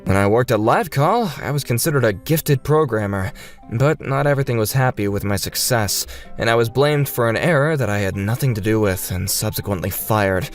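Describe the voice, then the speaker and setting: A man's voice: medium pitch, animated, fairly quick, pitched below the performer's own voice, close to an everyday voice. A man voicing an earnest, solemn father, a wronged but resolute programmer, in a comic dub. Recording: music bed behind the voice.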